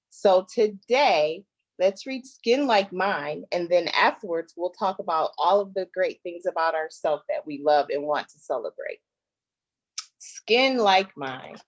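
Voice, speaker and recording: Slow, expressive woman reading to children. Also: on the low side, lo-fi sound. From Flint, Michigan, United States. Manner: expressive, child-directed, drawn-out phrasing. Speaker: guest children's author. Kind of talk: reading a picture book aloud to children.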